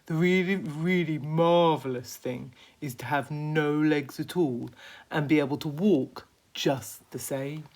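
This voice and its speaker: A woman's voice: sits low, a little slow, a little mellow, slight background noise. A woman voicing a prim, proud, easily offended earthworm in a children's storybook, with an emphatic, indignant manner.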